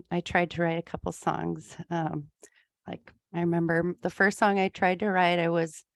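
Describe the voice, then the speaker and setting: A woman from Iowa with a low, gravelly voice, answering questions in an easygoing way and often pausing with "um." The sound is dry and close. She is a music therapist talking with an interviewer. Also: flat delivery, no room sound. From Iowa, USA. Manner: easygoing, frequent ums, light laughs.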